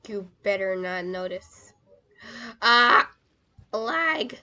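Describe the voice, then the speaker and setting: Bright, wry woman streaming a game. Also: mid-range voice, lively intonation, very slow, highs cut off. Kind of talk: casual live gameplay commentary.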